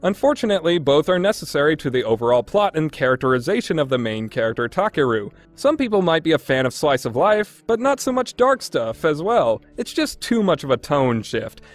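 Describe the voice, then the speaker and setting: A man's voice: a little high, big pitch swings, fairly quick, hardly any pauses, faint music behind. A man delivering a scripted review narration in an opinionated, emphatic way. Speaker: reviewer, list host.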